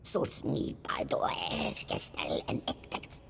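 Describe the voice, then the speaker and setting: A man voicing an alien creature leader in a video game, growling and breathy in a guttural invented tongue. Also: slow, natural voice. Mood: grave, guttural. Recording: game cutscene with music underneath.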